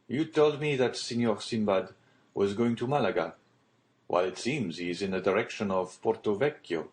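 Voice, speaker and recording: A man voicing an educated young gentleman traveller in an audiobook, speaking in a formal, measured, polite and questioning manner. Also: fairly low pitch, close to an everyday voice.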